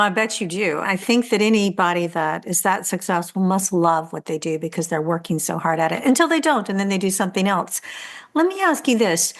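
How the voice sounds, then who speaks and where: A woman hosting a podcast, introducing and interviewing her guest in an upbeat, emphatic, effusive way. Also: medium pitch. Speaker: podcast host.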